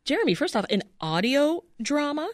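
A woman's voice: sits high, sing-song, normal speed, dry recording. Woman radio host, dry studio sound. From Toronto, Canada. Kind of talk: radio interview hosting. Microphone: close studio microphone.

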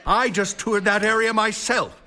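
A man's voice: sits high, fairly even intonation, a little slow, pushed and bright, soft background music, heavily acted. A man playing a stubborn, defensive 1919 police chief in a radio drama, speaking in an insistent official manner. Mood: reassuring, official.

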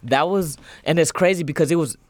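A man being interviewed as a musician guest in a radio studio, talking casually with lots of ums and getting lively when telling stories. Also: fairly high pitch, brisk, dry recording. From United States. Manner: hesitant, full of ums, lively when storytelling.

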